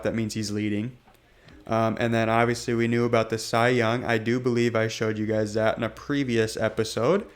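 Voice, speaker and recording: Young guy kinda hoarse from being sick, rambly gaming commentary, um-heavy. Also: mid-range voice. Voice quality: raspy. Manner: rambling, hesitant, lots of ums. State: hoarse from illness. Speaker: gaming channel host. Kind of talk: casual gameplay commentary.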